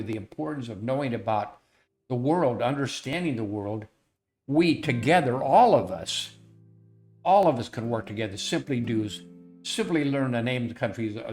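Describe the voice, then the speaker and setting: An older man telling a personal story in an earnest, folksy way, full of fillers and repeated phrases, as the founder of an educational software firm. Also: mid-range voice. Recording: faint guitar music underneath.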